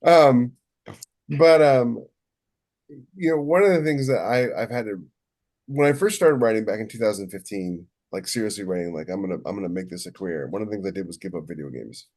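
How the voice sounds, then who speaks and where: A man's voice: on the low side, brisk, darkish tone. A middle-aged man talking candidly and in a rambling way on a casual podcast, as a co-host who writes novels.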